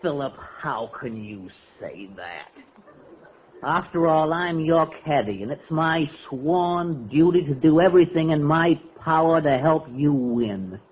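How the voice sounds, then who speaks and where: An American actor playing a sly, prissy caddy in a 1940s radio comedy, with a snide, mock-innocent delivery. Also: male voice, fairly high pitch, lively intonation, unhurried. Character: sly, prissy male caddy needling his rival. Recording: dull, hissy old radio recording.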